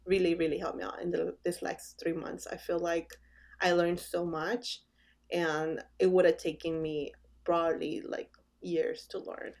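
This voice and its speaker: A woman brand designer answering interview questions casually, pausing often with "um" as she thinks. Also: fairly low pitch, flat delivery, darkish tone. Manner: hesitant, with many "um" pauses.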